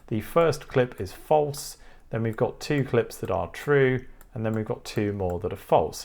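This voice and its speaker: A man's voice: medium pitch, gravelly. A man presenting a technical tutorial, explaining each step in a methodical, instructive way.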